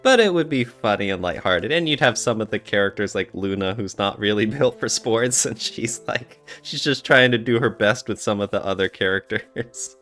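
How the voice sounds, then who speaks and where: A man's voice: on the low side, lively intonation, normal speed, few pauses, fairly bright tone. Playful man hosting over background music. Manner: playful, joking. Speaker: podcast host. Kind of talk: casual podcast chat. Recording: music behind the talk.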